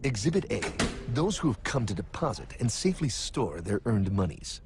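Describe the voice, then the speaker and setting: A man playing a smug, theatrical cartoon narrator who lectures in a pompous, deliberate, mock-mysterious way. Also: lowish voice, very animated, noisy background. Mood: mock solemn. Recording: background music under the voice.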